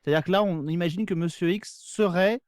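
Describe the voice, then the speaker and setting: Fast, higher-pitched man, enthusiastic. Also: darkish tone, no echo, clean studio sound. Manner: enthusiastic, eager explainer. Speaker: podcast guest. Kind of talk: casual talk, recommending shows.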